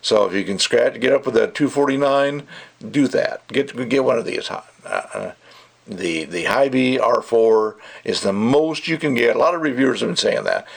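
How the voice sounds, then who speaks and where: A man's voice: medium pitch, animated, normal speed, gravelly, slightly rough. A man giving a casual, rambling and opinionated product review, talking off the cuff.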